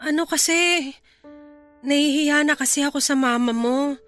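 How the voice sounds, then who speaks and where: Very high-pitched, embattled young mother. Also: measured pace, fairly bright tone, soft background music. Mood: hurt, reproachful. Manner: emotional, confrontational. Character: distressed young mother standing up to abusive partner. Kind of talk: Tagalog radio drama acting.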